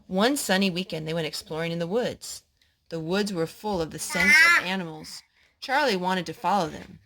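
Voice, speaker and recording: A woman reading a children's storybook aloud as a storyteller, in an animated way meant for a child, pausing to explain and ask questions. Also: fairly low pitch, very noisy.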